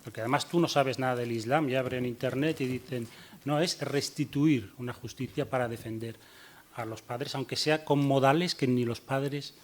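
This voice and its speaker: Hurried man giving a conference talk. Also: medium pitch. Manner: hurried, reads quotes aloud. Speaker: panel speaker.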